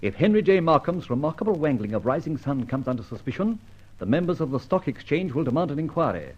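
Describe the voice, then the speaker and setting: Witty gentleman outlaw, British accent, swooping intonation, flamboyant wordiness, dulled vintage radio sound. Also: a little high, big pitch swings, quick pace, breathy edge. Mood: brisk, assured. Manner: flamboyant, wordy, mock-courteous. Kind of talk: old-time radio detective acting. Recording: muffled old radio drama recording.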